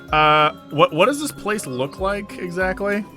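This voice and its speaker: A man's voice: high voice, animated, slow, bright, forceful tone, soft background music. A man playing a tabletop game, casually improvising what the character does. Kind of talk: casual improvised roleplay narration.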